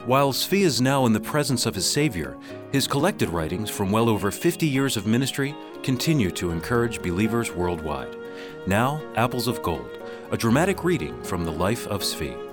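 A man's voice: on the low side, sing-song, moderate pace. A man reading a scripted, documentary-style radio introduction as an announcer. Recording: voice-over laid over music. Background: instrumental music.

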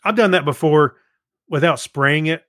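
A man's voice: fairly high pitch, normal speed, a bit bright, no room sound. Casual man chatting, dry close sound. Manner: conversational, joking. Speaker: podcast co-host. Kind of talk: casual chat.

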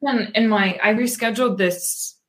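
A woman's voice: fairly high pitch, normal speed. A woman in her thirties from Pennsylvania, a guest in a casual interview, talking thoughtfully with many ums and restarts. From Pennsylvania, USA.

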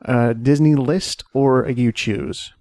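A man reading out trivia questions slowly and deliberately during a podcast game. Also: mid-range voice, gravelly, darkish tone, dry recording, studio quality.

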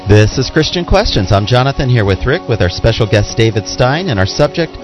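A man's voice: mid-range voice, expressive, a little fast, over music. A man co-hosting a radio show, delivering scripted announcements and scripture readings. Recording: slightly dull, band-limited radio sound.

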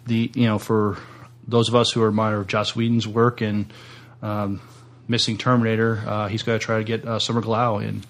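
A man's voice: on the low side, level tone, fairly quick. A man co-hosting a podcast in casual discussion, speaking hesitantly in short pieces. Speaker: podcast co-host.

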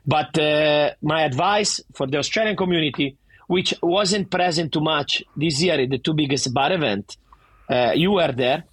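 A man's voice: a little high, normal speed, fairly bright tone, breathy edge, no room sound. A middle-aged man from Italy with a thick Italian accent, a bar owner talking animatedly and emphatically in a casual interview, often restarting his sentences.

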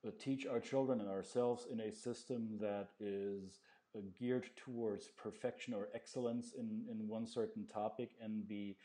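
A man hosting an interview podcast, speaking in a measured, thoughtful way and reflecting back what his guest says. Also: fairly low pitch, warm tone. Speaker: podcast host.

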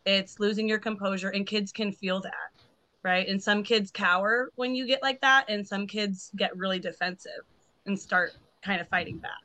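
Female cohost, bright clear tone, earnest and reflective. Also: mid-range voice, steady tone, moderate pace. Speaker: teacher, cohost. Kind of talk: casual chat.

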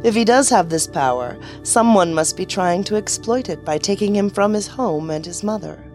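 A woman announcer giving dramatic scripted narration in a deliberate, mysterious storytelling manner. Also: medium pitch, expressive, a little mellow. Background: music.

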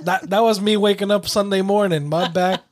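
A man co-hosting a podcast in casual chat, laid-back and blunt, his voice slightly hoarse. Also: high-pitched, fairly even intonation, a little fast, noisy background. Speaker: podcast co-host.

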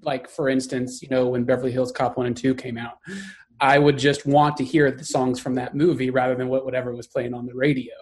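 A man hosting an interview in a relaxed, conversational way, often using "like" and "you know". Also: medium pitch, a little fast. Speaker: host. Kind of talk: casual interview chat.

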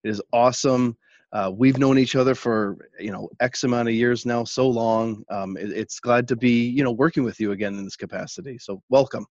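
A man hosts a casual podcast, friendly and full of fillers and restarts. His voice sits at an ordinary pitch and sounds close and dry, with a muffled, lo-fi edge. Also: steady tone, fairly quick, no echo. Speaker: podcast host.

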